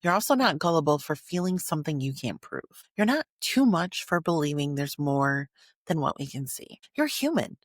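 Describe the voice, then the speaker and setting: A woman podcast host delivering scripted narration in an earnest way, with short dramatic phrases and emphatic pauses. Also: deep voice, very animated, no echo, clean studio sound.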